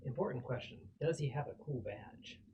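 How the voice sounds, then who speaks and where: A man's voice: medium pitch, moderate pace, dark tone. Casual male player, dull noisy recording. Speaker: player in a tabletop game. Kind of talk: casual chat.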